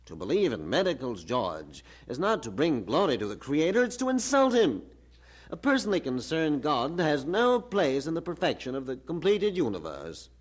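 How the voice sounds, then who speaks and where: Pompous professor with sweeping intonation. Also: male voice, on the high side, huge pitch swings. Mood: assured, emphatic. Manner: didactic, pompous, measured argument. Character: learned, condescending male university professor arguing patiently. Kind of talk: radio drama acting.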